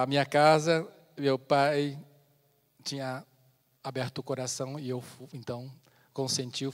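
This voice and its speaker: A man in his fifties from China, giving an earnest personal testimony as a missionary speaker in a church, with pauses and restarts. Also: fairly high pitch. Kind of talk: testimony sermon. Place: church sanctuary. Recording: long church hall reverb.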